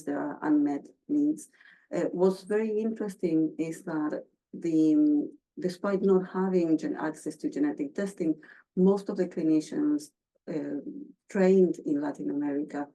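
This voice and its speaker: Low-voiced Argentine woman presenting research. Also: warm tone, poor audio. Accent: Argentine Spanish. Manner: halting, many fillers and restarts. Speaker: researcher giving a seminar. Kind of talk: academic presentation.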